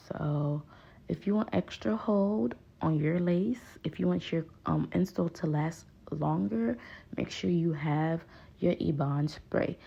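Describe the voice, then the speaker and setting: Hairstylist, young adult woman with a Haitian accent, low gravelly voice, coaxing and patient, dull lo-fi recording. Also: dark tone. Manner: patient, pleading, repeats "please, guys". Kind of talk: voice-over tutorial.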